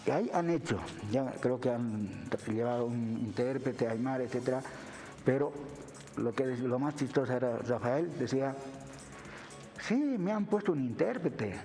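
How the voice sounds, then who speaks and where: A man's voice: medium pitch, expressive, moderate pace, warm tone, faint music behind. Bolivian man lecturing in a reverberant space. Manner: didactic, punctuates with tag questions. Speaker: university lecturer, interview guest. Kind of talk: explanatory television interview talk.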